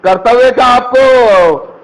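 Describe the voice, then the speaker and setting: A woman arguing heatedly on a phone call, scolding, confrontational and quick to cut in. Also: fairly high pitch.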